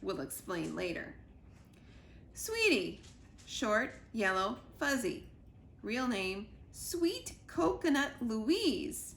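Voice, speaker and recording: A woman's voice: a little high, very animated, very slow, gravelly, a bit bright, reverberant, a little hiss or hum, heavily acted. Woman reading as a dry, weary retired dog. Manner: dry, laid-back first-person narration.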